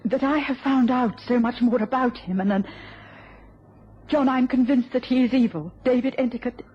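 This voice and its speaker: High-voiced, gentle, anxious wife. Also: female voice, breathy edge. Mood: tearful, fearful. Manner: polite, halting, breaking off when flustered. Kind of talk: radio drama acting. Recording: dull, noisy old radio recording.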